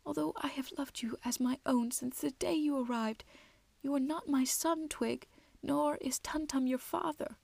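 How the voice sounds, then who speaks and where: A woman voicing an anxious, loving wood troll mother in a soft, tremulous, breathy voice for a storybook. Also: sits high, steady tone, moderate pace, no echo, voice pushed up. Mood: tearful, confessing.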